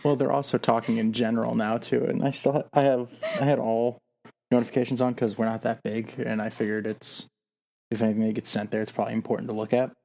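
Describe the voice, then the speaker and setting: Man with a creaky voice, podcast banter. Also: medium pitch, flat delivery, quick pace, darkish tone. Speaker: podcast co-host. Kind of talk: casual banter.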